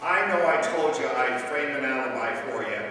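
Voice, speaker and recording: Guy doing a jittery hideout owner, pleading slangy talk, old radio play, sharp voice, big echoey hall. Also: mid-range voice, moderate pace, slight background noise, close to an everyday voice. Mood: uneasy. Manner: anxious, slangy. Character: nervous, pleading male owner of a crooks' hideout. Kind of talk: old-time radio crime drama acting. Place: large reverberant room, stage rehearsal. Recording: distant, echoey reverberant hall.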